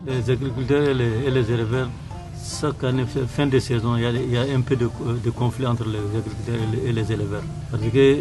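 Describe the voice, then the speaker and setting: A man's voice: mid-range voice, steady tone, a little fast, music in the background, poor audio. A plain-spoken man with a West African accent, giving a conversational answer in a documentary interview.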